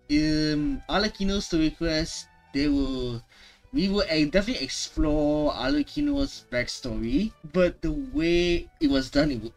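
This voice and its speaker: A man's voice: fairly high pitch, vocal fry, low-quality recording. A man giving casual gaming reaction commentary, emphatic and often repeating his words and phrases. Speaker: gaming streamer.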